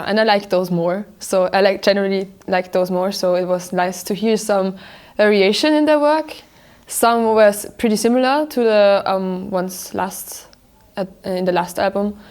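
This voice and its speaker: Young female interviewee, thoughtful, unhurried. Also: medium pitch, darkish tone. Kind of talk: casual interview answer.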